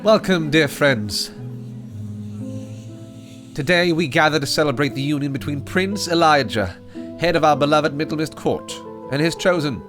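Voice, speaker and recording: A man's voice: on the high side, animated, moderate pace, pauses often, a crowd in the background, natural voice. A man playing a formal wedding officiant at a fae court who speaks in ceremonial, archaic address. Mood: solemn. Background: music.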